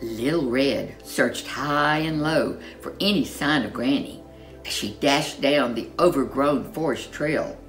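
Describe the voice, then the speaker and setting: A woman storyteller reading a children's storybook in an expressive, animated way, with dramatic emphasis. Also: extremely low pitch, slow pace, faint music behind.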